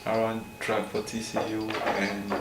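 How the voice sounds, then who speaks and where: A young man with a Ghanaian accent talks informally in a fairly low, even voice. He is recorded in an echoey, noisy room. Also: unhurried, music in the background. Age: young adult. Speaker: student athlete interviewee. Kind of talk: casual, informal talk about family. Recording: echoey room with clear noise.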